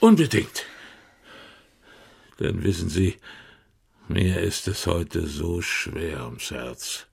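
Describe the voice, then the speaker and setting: An actor playing a weary, regretful old Russian poet in exile in a German radio drama, heavy-hearted and theatrical. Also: male voice, sits low, animated, drawn-out words, vocal fry, pauses often, breathy edge, exaggerated voice. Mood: wry, teasing.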